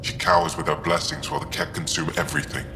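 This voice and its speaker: An actor playing a grave, fanatical, fearless alien warrior leader in a sci-fi video game. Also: male voice, rumbling low, little pitch movement, fast. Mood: scornful, resolute. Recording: long reverb, clear background noise.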